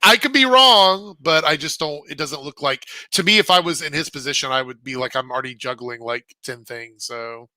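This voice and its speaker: Casual co-host, man, bright forceful tone, dry close sound, loose rambling delivery. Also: on the high side, animated, moderate pace, hardly any pauses, no room sound, poor audio. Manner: rambling, many fillers like "like" and "um". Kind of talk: casual podcast chat.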